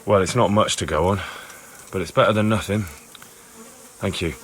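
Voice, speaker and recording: A man's voice: deep voice, moderate pace, a little hiss or hum, natural voice. An actor playing a determined young man in a video game, pressing people with matter-of-fact questions. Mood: earnest, probing. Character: determined young man searching for a missing villager. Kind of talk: video game cutscene acting.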